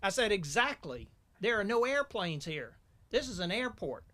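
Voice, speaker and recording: A man's voice: high voice, big pitch swings, moderate pace, sharp tone, a little hiss or hum. A middle-aged man giving a folksy welcome speech as master of ceremonies.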